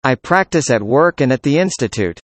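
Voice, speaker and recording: A man reading a student's part in a scripted classroom conversation, with an even, measured delivery. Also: mid-range voice, no room sound. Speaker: student in a dialogue.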